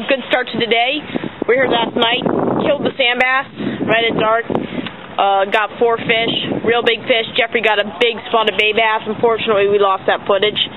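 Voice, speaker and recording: A teenage boy with an American accent giving casual fishing commentary outdoors on harbor docks into a handheld camera. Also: very high voice. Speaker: fishing vlogger. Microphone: handheld camera microphone. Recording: tinny phone-like audio, loud outdoor noise.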